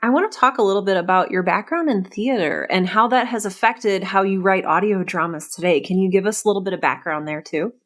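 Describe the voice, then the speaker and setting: A woman with a medium-pitched voice hosts an interview podcast. She speaks conversationally, with an effusive and encouraging manner as she addresses her guest. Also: a little fast, few pauses, a bit bright.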